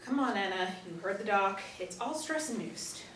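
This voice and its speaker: A woman's voice: medium pitch, expressive, moderate pace, fairly bright tone, natural voice. A woman acting a flustered, stubbornly disbelieving driver in a table read of a TV drama, exasperated and emphatic. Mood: exasperated, panicky. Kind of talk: table read of a TV pilot script. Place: small indoor room. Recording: roomy, distant mic, noisy ambience.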